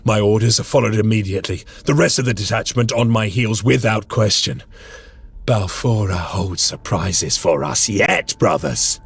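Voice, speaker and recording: An actor playing a grim veteran space marine sergeant who narrates, solemn and measured with old-fashioned phrasing. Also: male voice, on the low side, gravelly, breathy edge, exaggerated voice. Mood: brooding. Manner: solemn, measured, archaic speech. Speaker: narrator and squad leader. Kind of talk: game cutscene voice acting. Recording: with score music behind.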